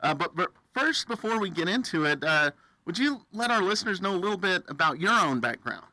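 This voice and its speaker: A man hosting a casual radio interview, with halting, hesitant phrasing. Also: high voice, sing-song, pushed and bright, dry recording, low-quality recording. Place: radio studio, face to face with guest.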